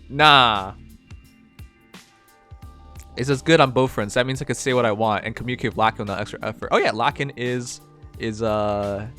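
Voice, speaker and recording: A man's voice: mid-range voice, bright, forceful tone. A man from Canada with a slight Chinese accent, who speaks English as a second language, hosting a livestream and reading chat messages aloud in a rambling, blunt, profane way. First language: not English. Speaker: livestream host.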